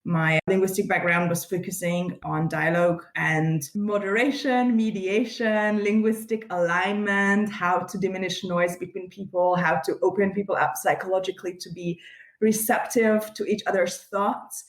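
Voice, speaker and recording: A woman from Hungary, an animated podcast guest who laughs easily and fills her talk with "like" and "you know", speaking over a video call. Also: mid-range voice, moderate pace. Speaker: guest, community founder. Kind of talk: casual interview chat. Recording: echoey room on a video call.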